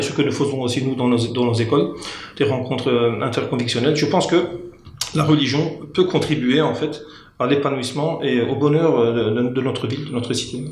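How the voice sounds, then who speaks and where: Candidate, male, halting delivery full of fillers, roomy studio sound with faint hiss. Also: medium pitch. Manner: hesitant, many fillers and restarts. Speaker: local election candidate. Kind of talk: political panel discussion. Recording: echoey studio with faint noise.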